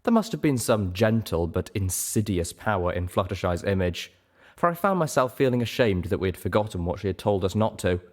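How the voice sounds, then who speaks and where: A man reading a story aloud as an audiobook narrator, in an expressive scripted style. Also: on the low side, fairly quick. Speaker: audiobook reader.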